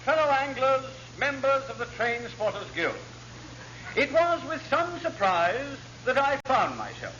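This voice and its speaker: An actor playing a muddled, pompous elderly dignitary who rambles through oratory in classic radio comedy style. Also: male voice, very high-pitched, sing-song, a little slow, heavily acted. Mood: pompous, befuddled. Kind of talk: classic radio sitcom acting. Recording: muffled, very noisy old radio recording.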